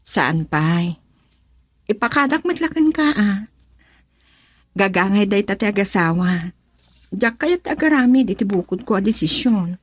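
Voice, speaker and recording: Husky-voiced young Filipino wife played by an actress. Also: female voice, mid-range voice, big pitch swings, unhurried, pauses often, no echo. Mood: persuasive. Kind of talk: Ilocano radio drama acting.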